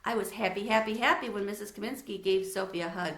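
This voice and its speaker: A woman reads a children's story aloud in an expressive, teacherly way, turning to address her listeners. Her voice is bright and forward, and the untreated room adds clear echo. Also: mid-range voice, fast talker.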